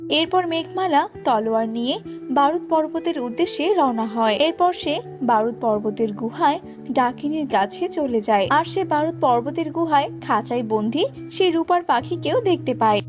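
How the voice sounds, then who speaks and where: A woman's voice: high-pitched, lively intonation, moderate pace, dull audio, over music. A woman narrating a scripted children's fairy tale as storyteller.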